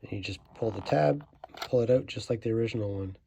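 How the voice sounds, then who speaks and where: A man's voice: fairly low pitch, fast, creaky, warm tone, slight background noise. A man giving a casual hands-on how-to narration, informally thinking aloud as he works. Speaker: host of a toy restoration tutorial.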